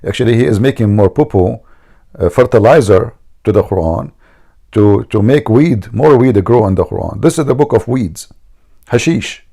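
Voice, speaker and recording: Man, gravelly dark voice, mocking debate host, emphatic and repetitive. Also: fairly low pitch, lively intonation, moderate pace. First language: Arabic. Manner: mocking, emphatic, repeats himself. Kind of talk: argumentative religious debate.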